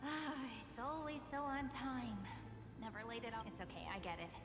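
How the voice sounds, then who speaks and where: An actress playing a gentle, wistful young woman in a video game, speaking with a dreamy tenderness. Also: a little high, lively intonation, moderate pace, natural voice. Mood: tender, pleading. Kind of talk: scripted video game acting. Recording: echoey long reverb, music behind.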